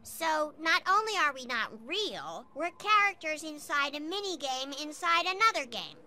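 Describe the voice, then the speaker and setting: A woman's voice: high voice, big pitch swings, moderate pace, pushed and bright, full character voice. A woman voicing an earnest, articulate, idealistic little girl in a cartoon video game.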